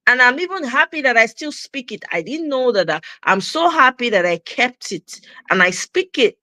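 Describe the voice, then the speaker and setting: Middle-aged Nigerian woman host with a Pidgin-tinged accent, passionate and emphatic, bright-pitched, dry recording. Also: dry recording. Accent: Nigerian, with Pidgin phrases. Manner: emphatic, repeats her points for stress. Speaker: host of a commentary channel. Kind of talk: impassioned opinion monologue.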